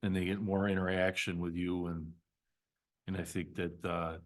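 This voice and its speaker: Rambling lowish-voiced committee chair. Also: male voice, flat delivery, moderate pace. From Milwaukee. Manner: friendly, rambling, supportive remarks. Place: village committee meeting room.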